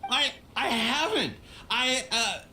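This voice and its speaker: A man's voice: extremely high pitch, expressive, slow, bright, forceful tone, slight background noise, heavily acted. A man playing a flustered, stammering merchant under suspicion in an anime game. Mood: nervous, pleading. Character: nervous, pleading male island merchant under suspicion.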